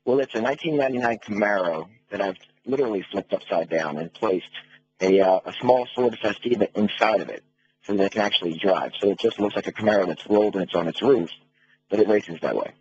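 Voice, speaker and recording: A man's voice: fairly low pitch, fast talker. A middle-aged American man speaking as a guest on a radio phone interview, explaining things conversationally and matter-of-factly. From United States. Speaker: phone-in interview guest, race car builder. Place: phone call to a radio show. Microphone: telephone. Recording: muffled noisy phone line on radio.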